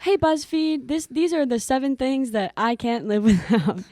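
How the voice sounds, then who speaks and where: A young woman co-hosting a podcast, chatting casually with quick asides and frequent laughs. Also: sits high, a little mellow, no echo, studio quality. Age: young adult. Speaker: podcast co-host. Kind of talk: casual chat.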